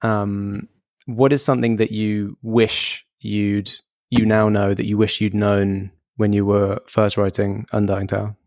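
A man hosting a casual podcast interview, chatty and quick to laugh. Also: fairly low pitch, little pitch movement, darkish tone, no room sound, studio quality. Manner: chatty, laughs easily, trails into restarts. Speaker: podcast host.

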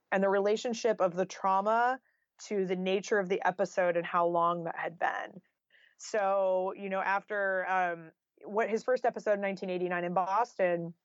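A woman's voice: medium pitch, no echo. A woman with a mid-range voice talks as a guest writer in an interview. She explains things in an informal, conversational way, dropping in "like" and "you know". The sound is dry and a little lo-fi. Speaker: guest author and journalist.

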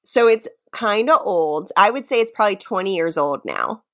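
A woman's voice: medium pitch, lively intonation, normal speed, fairly bright tone. A woman co-hosting a comedy podcast, mixing playful dramatic readings with casual banter and often breaking into giggles. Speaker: podcast co-host.

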